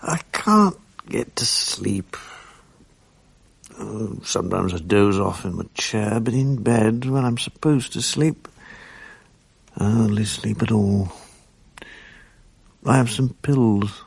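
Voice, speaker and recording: A gravelly, sleepless writer in his fifties. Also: fairly low pitch, animated, slow pace, pauses often, dry recording, full character voice. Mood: weary. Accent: British. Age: 50s. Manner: dry, self-mocking, gloomy. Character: weary bereaved middle-aged writer, sleepless and bitter. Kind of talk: radio drama narration.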